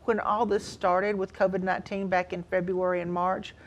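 A woman's voice: mid-range voice, level tone, normal speed, lo-fi sound, a little hiss or hum. A woman in her sixties explaining things as a counselor and guest in a studio interview. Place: TV studio, seated indoors.

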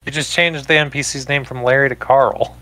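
A man's voice: mid-range voice, fairly even intonation, brisk, pushed and bright. A man playing in a tabletop game, joking around and teasing the game master about the recap, on a voice call. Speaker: player. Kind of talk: casual chat at a game table.

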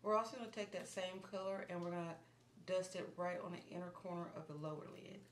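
Low-voiced woman vlogger in echoey room. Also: quick pace. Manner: calm, instructive, softens to near murmur while working. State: slightly losing her voice. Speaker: beauty vlogger. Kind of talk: step-by-step makeup tutorial. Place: small indoor room against a plain wall. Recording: echoey room with background hiss.

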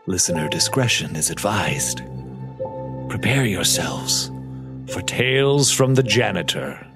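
A man's voice: low-pitched, sing-song, unhurried. A man reading an ominous scripted announcement for a horror show. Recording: voice over music.

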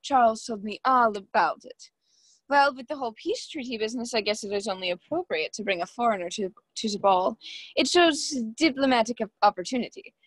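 Young woman acting haughty hostess, scheming, high voice, condescending, close dry sound, radio play. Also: expressive, a little fast, dry recording, low-quality recording. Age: young adult. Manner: condescending, taunting.

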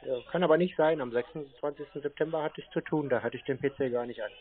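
A man's voice: fairly high pitch, fast. A man in a casual phone conversation, teasing and questioning the person on the other end. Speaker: prankster taking scam calls. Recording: narrow-band phone call audio.

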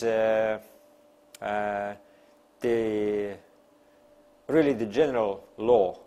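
A man in his fifties, a non-native English speaker, giving an academic lecture in deliberate, emphatic phrases with pauses. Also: medium pitch, warm tone. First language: not English. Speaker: university lecturer. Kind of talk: academic lecture, partly read from notes. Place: small classroom, seated at a desk.

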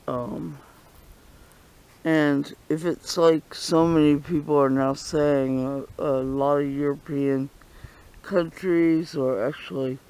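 A man vlogging on a walk through a bare forest, talking earnestly and insistently, pausing often. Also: fairly high pitch, steady tone, darkish tone, smooth, rough recording. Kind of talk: walking vlog monologue.

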